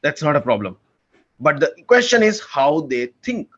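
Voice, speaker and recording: Earnest young man, slightly raised pitch. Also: sing-song, moderate pace, fairly bright tone, muffled recording. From India. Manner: earnest, enthusiastic, rambling with restarts. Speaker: podcast guest, PhD student. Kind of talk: casual conversational interview.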